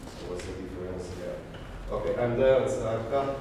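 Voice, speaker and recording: A man's voice: lowish voice, moderate pace, far from the mic. Slovenian-accented man lecturing in an echoey hall. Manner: self-deprecating, lists items one by one. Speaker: conference speaker. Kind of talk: technical conference talk. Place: large conference hall. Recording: echoey hall, speaker off-mic, audible noise.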